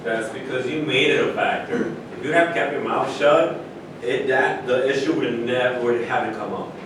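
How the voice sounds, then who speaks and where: A proud retired athlete, played by a man, argues with self-assurance in a staged play reading. The sound comes from far off in a very echoey room, over clear rumbling noise. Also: normal speed, close to an everyday voice. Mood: indignant. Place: live staged reading in a room, distant microphone.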